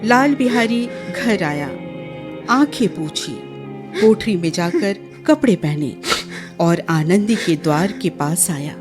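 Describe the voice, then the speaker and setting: Female narrator, unhurried, lively intonation, dramatic radio storytelling, faint music behind. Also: fairly low pitch. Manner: measured, expressive.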